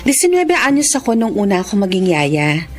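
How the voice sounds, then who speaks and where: An actress playing a kind, soothing young nanny in a radio drama. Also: female voice, medium pitch, very animated, fairly quick, lightly acted. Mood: sincere narrating. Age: young adult. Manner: soothing, mixes Tagalog and English. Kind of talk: Filipino radio drama acting and narration.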